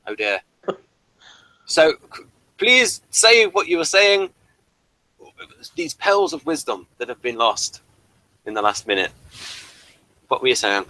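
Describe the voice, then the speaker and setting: Bright-voiced man rambling through a review. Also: medium pitch, sing-song, slow pace, long pauses, no echo, rough recording. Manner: hesitant, rambling, apologetic. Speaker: host of a TV review show. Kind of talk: casual review discussion.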